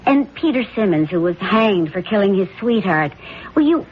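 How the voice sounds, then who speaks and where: Worried suspicious wife in an old radio play, american, hesitant and earnest, muffled sound. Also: female voice, mid-range voice, very animated, moderate pace, slightly rough. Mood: distraught. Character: troubled, suspicious wife confronting her husband. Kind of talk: 1970s radio drama acting. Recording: dull, noisy old radio broadcast.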